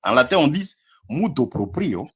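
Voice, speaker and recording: This is a man from Congo who speaks with a Congolese French accent. His voice is husky, and his pitch jumps around a lot. He stammers and hesitates as he defends himself in a live online debate, often breaking into a chuckle. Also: sing-song, normal speed.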